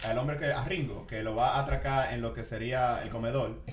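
A man's voice: mid-range voice, fairly quick. A man with a Dominican accent chatting casually about films as a podcast co-host, telling stories with repeated words and bits of English. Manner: animated storyteller, repeats himself, slips into English. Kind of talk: casual film chat among friends. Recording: echoey room with background noise, distant mic.

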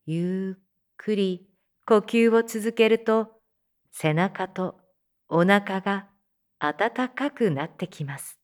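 A woman playing a soothing teacher who leads a guided meditation in a voice drama, counting and instructing in gentle, measured phrases. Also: medium pitch, lightly acted. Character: soothing female teacher leading meditation amid zombie threat.

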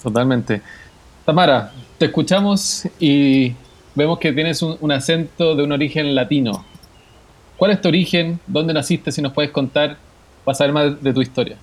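A man's voice: a little high, moderate pace. A man interviewing a guest, asking friendly, inviting questions.

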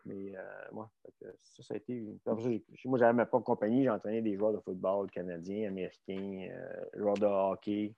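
A man's voice: lowish voice, level tone, a little fast, creaky, few pauses, dull audio. A middle-aged man with a Québécois accent, talking casually and bluntly, rambling with many fillers and restarts as he recounts his coaching career. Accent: Québécois French. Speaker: strength coach guest. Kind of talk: casual conversational storytelling.

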